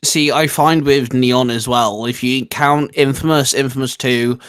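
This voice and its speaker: A man speaks as the host of a gaming video, in a casual commentary style. His pitch sits in an ordinary middle range for a man, and he talks conversationally. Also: fairly even intonation, a little slow, a bit bright, clear, resonant tone. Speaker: host of a video game ranking show.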